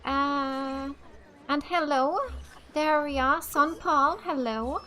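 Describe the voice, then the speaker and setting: A woman's voice: high voice, drawn-out words, sharp tone, a little hiss or hum. A woman from Finland, a native Finnish speaker, giving casual let's play commentary and tentatively thinking aloud. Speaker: gaming streamer.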